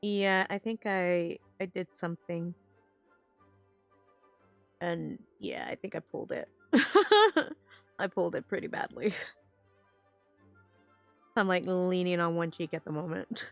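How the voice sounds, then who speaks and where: A woman's voice: on the low side, animated, slow, vocal fry, pauses often, no room sound, soft background music. Casual woman streamer thinking aloud. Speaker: game streamer. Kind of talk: casual live game-stream commentary.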